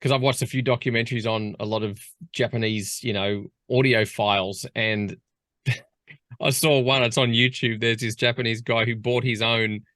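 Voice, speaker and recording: Chatty Australian male host, mid pitch, dry close sound. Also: steady tone, normal speed, a bit bright, dry recording. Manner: rambling, chatty questions. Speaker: radio host. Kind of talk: casual interview questions.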